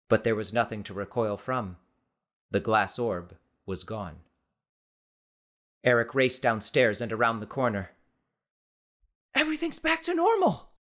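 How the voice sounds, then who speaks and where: A male audiobook narrator with a medium-pitched voice. He reads scripted fiction in a measured, expressive way, with dramatic storytelling phrasing.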